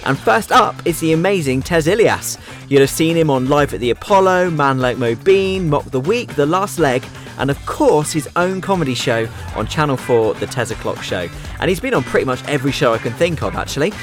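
A man's voice: on the high side, expressive, brisk, soft background music. A man presenting a radio show and interviewing a guest in an upbeat radio style.

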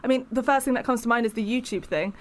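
A woman with a fairly high voice talks as a podcast guest. She is frank and outspoken, with lots of casual fillers. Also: fast talker. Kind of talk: casual conversational interview.

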